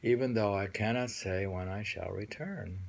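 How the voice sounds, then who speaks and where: A man reading poems aloud with casual commentary, musing and halting as he goes and often repeating phrases. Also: on the low side, vocal fry, a little hiss or hum. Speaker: host reading and discussing poems.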